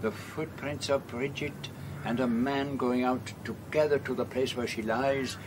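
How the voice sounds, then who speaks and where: A fussy, dignified elderly Belgian detective, as an actor plays him, speaking with a French accent in slow, formal, carefully measured sentences. The voice sits at a middling pitch and stays unhurried. This is a radio play, with faint noise underneath. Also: male voice, fairly even intonation, lightly acted. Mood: methodical. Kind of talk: radio drama acting and narration.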